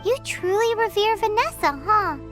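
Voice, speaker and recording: A woman's voice: very high voice, moderate pace, music in the background. A woman voicing a tiny, chirpy, nosy floating female companion in a video game. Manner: bubbly, blunt and curious.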